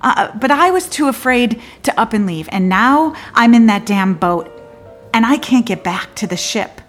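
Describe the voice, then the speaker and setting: An actress playing a disillusioned woman lawyer in a theatrical monologue, confiding in a halting, wry and bitter way with abrupt swings. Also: a little high, expressive, faint music behind, natural voice. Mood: rueful.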